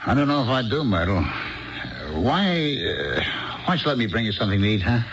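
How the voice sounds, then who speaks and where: A man's voice: fairly low pitch, very animated, normal speed, background noise. An actor playing a kindly, fussing barman in a 1970s radio drama, coaxing and stammering, repeating himself. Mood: coaxing, flustered. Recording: dull, band-limited old radio broadcast.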